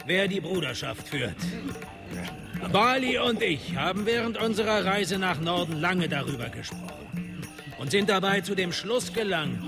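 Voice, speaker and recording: An actor playing a sly, self-possessed conspirator in a fantasy radio drama, deliberate and needling in manner. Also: male voice, sits high, exaggerated voice. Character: sly, self-possessed male leader of a dark brotherhood.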